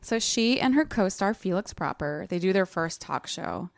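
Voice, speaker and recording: A woman's voice: mid-range voice, lively intonation, moderate pace, vocal fry, no echo. A woman co-hosting a podcast, recapping a book in an informal, playful chat. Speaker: podcast co-host.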